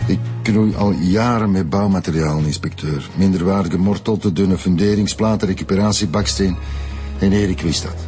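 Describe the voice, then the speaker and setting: A man's voice: sits low, normal speed, warm tone, faint music behind, close to an everyday voice. An actor with a Flemish accent playing a cynical, crooked male building contractor in a radio drama, blunt and scornful. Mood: cynical, resigned.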